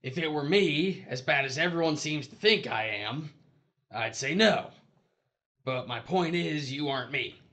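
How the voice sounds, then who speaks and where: A man playing a dead, abusive hustler of a husband in an audio drama, pleading and justifying himself in a gravelly voice. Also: fairly high pitch, animated, a little slow, bright, forceful tone. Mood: self-justifying.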